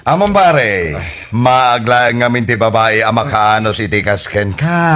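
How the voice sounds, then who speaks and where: A man's voice: mid-range voice, sing-song, slow pace, sharp tone, slight background noise, heavily acted. A man with an Ilocano Filipino accent playing a teasing, frank male buddy who exclaims a lot in a radio comedy drama. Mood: mocking, chiding.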